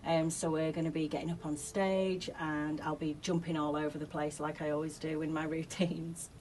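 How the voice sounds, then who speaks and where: A woman's voice: deep voice, flat delivery, moderate pace, a little mellow, a little hiss or hum. A woman with a strong Yorkshire accent answering interview questions in an upbeat, chatty way. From Yorkshire, England. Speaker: interview guest, co-host.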